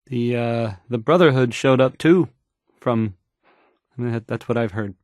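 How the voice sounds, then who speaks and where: A man's voice: mid-range voice, a little mellow, dry recording, studio quality, close to an everyday voice. A man playing an awkward, unsure young radio DJ in a video game, stumbling hesitantly through news reports. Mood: anxious, unsure. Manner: halting, stammering, hesitant, trailing off.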